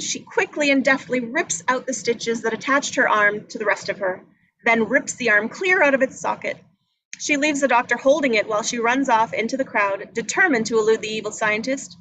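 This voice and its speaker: A woman's voice: on the high side, brisk, bright, forceful tone, low-quality recording. A woman reading scripted action descriptions as narrator at a live table read.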